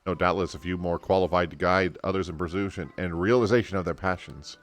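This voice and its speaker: A man voicing an eager, sweet young elf woman in a fantasy game, excitable and earnest. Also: low-pitched, brisk, natural voice. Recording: game music behind the voice.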